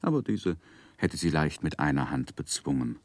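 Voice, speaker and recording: A man recounting his life in a 1960s literary radio play. His voice is low and moves expressively up and down. The delivery is measured and gently wry, recorded close and dry. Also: expressive, dry recording. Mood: wry, narrating. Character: wry grown man narrating his own life story. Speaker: narrator. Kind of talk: scripted literary narration in 1960s radio drama.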